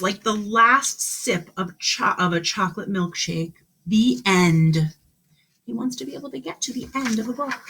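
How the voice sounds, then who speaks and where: A woman teacher reads to small children in a husky voice with very lively intonation. She takes her time, exclaiming, asking questions and explaining as she goes. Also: a little high. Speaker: kindergarten teacher. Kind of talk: read-aloud to young children with explanations and questions.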